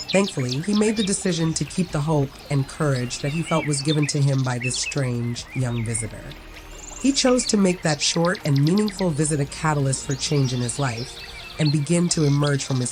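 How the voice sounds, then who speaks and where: A narrator of ambiguous sex reading a scripted dramatic story. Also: mid-range voice. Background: music.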